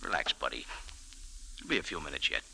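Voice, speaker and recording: An actor playing a tough, wisecracking American café owner and adventurer, clipped and sardonic, in old-time radio style. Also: male voice, extremely low pitch, wildly swooping pitch, a little fast, gravelly, heavy background noise, heavily acted. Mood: hard, confident. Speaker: lead actor and narrator. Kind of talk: old-time radio detective acting and narration.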